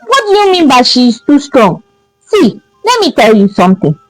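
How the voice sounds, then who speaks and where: A woman's voice: high voice, sing-song, moderate pace, soft background music, rough recording. A woman with a Nigerian accent voicing a no-nonsense mother who nags her grown son with emphatic questions in a folktale. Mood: indignant. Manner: emphatic, nagging rhetorical questions.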